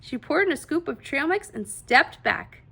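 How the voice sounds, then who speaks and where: A woman narrates a children's storybook in a high voice with a creaky edge. Her reading is expressive and deliberate, leaning into the dramatic words as a read-aloud host would.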